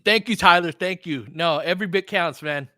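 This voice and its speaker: A man's voice: high-pitched, moderate pace, bright, forceful tone, no echo. A man hosting a live basketball stream, chatting and commentating casually in a blunt, salty, informal way.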